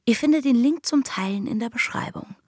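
A woman voicing a pirate who addresses podcast listeners directly and in a friendly way, her voice husky. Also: a little high, brisk, darkish tone, no echo, clean studio sound, close to an everyday voice. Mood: friendly, upbeat. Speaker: podcast outro presenter.

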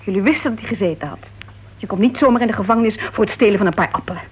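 A woman's voice: mid-range voice, rapid-fire, slight background noise, natural voice. An actress playing a bitter, guarded woman with a painful past in a Dutch radio play, speaking in a terse, weary, restrained manner. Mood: grave, guarded. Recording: dull, muffled old radio recording.

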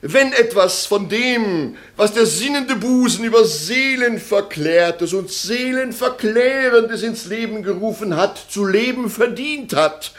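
An actor playing a pompous, florid defence lawyer with oratorical delivery in a 1960s German radio drama. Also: male voice, high-pitched, animated, takes it slowly, few pauses. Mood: solemn pathos.

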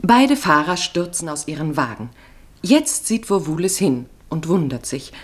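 Low-voiced woman narrating a radio play. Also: very animated, measured pace. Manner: terse, present-tense storytelling.

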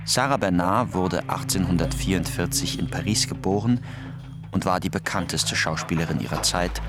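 A man's voice: deep voice, normal speed. A man narrating a scripted documentary piece. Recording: music under the voice. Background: music.

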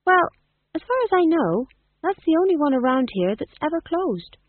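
A smooth, confident young gentleman, as an audiobook narrator puts him on, pitched high with swooping, teasing delivery. He is witty and well-spoken, in a dry storybook recording. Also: very animated, normal speed, dry recording, phone-quality sound.

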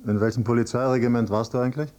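Radio drama: male actor as accusing grown son, dark muted tone, insistent but controlled. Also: mid-range voice, fairly even intonation, racing, natural voice. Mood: probing. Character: persistent, accusing grown son interrogating his father. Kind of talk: German radio drama acting.

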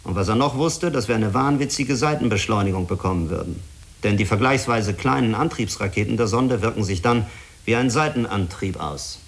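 An actor playing a stern, self-critical spaceship commander in a German radio drama, speaking firmly and explaining in measured phrases. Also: male voice, fairly low pitch, creaky, slight background noise. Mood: reflective, insistent.

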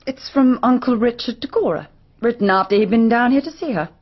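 An actress playing a brisk, cool, critical young married woman in a radio drama, dry and guarded. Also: fairly high pitch. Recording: dull radio recording with clear noise.

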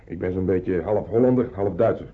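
A man's voice: sits low, fairly quick, natural voice. A man acting a polite, friendly half-Dutch German soldier in a wartime radio drama. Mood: polite, explaining. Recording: muffled, band-limited old radio recording.

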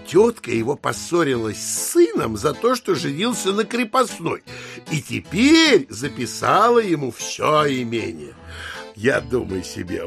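Swaggering, wildly animated chatterbox braggart. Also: male voice, medium pitch, creaky, full character voice. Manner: rambling, familiar, exclamatory, interjection-laden. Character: brash boastful adult man, chatty uninvited guest, lying braggart. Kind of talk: theatrical audio drama acting.

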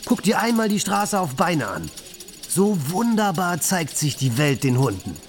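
Florid, persuasive bohemian artist. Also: male voice, sits high, sing-song, a little slow, a little hiss or hum, full character voice. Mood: playful. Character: talkative poor male bohemian artist, playful, scheming matchmaker. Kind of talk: literary radio play acting.

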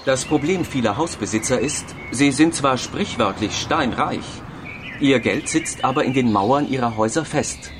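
An actor plays an assured male presenter of a finance magazine in a radio play. He delivers scripted narration at a middle pitch, with music clearly heard behind him. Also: animated, moderate pace, close to an everyday voice. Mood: explanatory.

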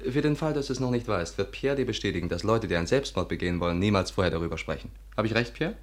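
A man's voice: on the low side, lively intonation. An actor playing a dry, witty, teasing male companion with a sardonic manner in a 1950s radio drama. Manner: sardonic, quick-witted.

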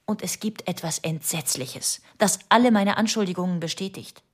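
An actress playing an insistent, distraught wife making urgent accusations in a radio play. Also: female voice, on the low side, normal speed, lightly acted.

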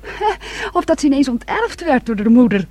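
Husky, bitter young woman. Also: sits high, very animated, normal speed, a little mellow, no echo. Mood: spiteful, bitter. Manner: intimate, spiteful. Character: bitter, jealous, possessive young sister. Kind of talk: radio drama acting.

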